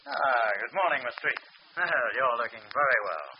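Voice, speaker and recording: An actor playing a pompous, fussy district attorney in a 1940s radio drama, puffed up at first, then stammering and backpedaling. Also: male voice, mid-range voice, animated, moderate pace, natural voice. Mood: unctuous. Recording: muffled old radio broadcast with hiss.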